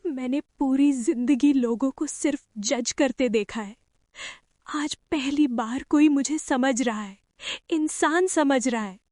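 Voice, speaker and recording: A woman plays an emotional, humble young bride in a cartoon drama. Her voice is high and mellow, slow and hesitant, full of earnest feeling. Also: no room sound, studio quality. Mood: moved. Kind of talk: animated story drama acting.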